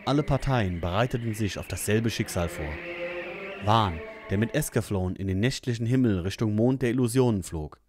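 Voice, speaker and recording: A man's voice: lowish voice, normal speed, a little mellow, soft background music. A man reading scripted narration for an audio drama.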